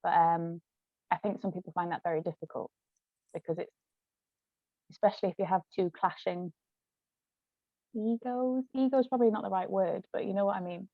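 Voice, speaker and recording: A woman's voice: mid-range voice, steady tone, a little fast, long pauses, dry recording. British woman, thin phone-like sound. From United Kingdom. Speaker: co-author and ghostwriter being interviewed. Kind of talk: casual interview chat.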